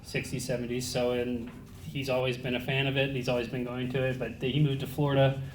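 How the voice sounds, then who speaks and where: A man who is a racing driver, answering interview questions in a relaxed, matter-of-fact way. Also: mid-range voice, a little fast, roomy sound, a little hiss or hum, low-quality recording. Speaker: guest, race car driver. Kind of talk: casual interview.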